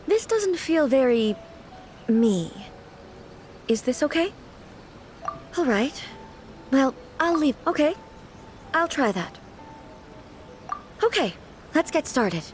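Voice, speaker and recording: A reserved immortal queen speaks in a fairly high voice with deliberate, unhurried formality. She sounds dignified yet earnest and naive, in the style of a video game cutscene. Soft music sits behind the voice. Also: heavily acted. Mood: puzzled, self-conscious.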